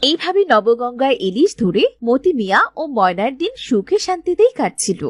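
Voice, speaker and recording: A woman's voice: a little high, very animated, moderate pace. A woman narrating a cartoon story in a scripted storytelling style.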